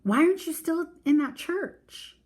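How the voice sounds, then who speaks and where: A woman's voice: extremely high pitch, animated, unhurried, darkish tone, pitched above the performer's own voice. A woman voicing a shaken, bewildered young boy in a storybook read-aloud, earnest and hesitant.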